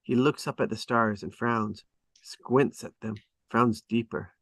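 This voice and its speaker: A man's voice: mid-range voice, steady tone, a little slow, fairly bright tone, no echo. A man narrating a script at a table read, reading stage directions dramatically in short, clipped phrases.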